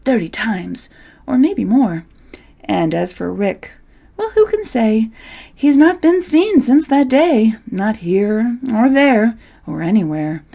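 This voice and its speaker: A woman reads rhyming verse as a storybook narrator, playful and theatrical, with measured phrasing. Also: a little high, lively intonation, creaky, warm tone. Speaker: poetry reader.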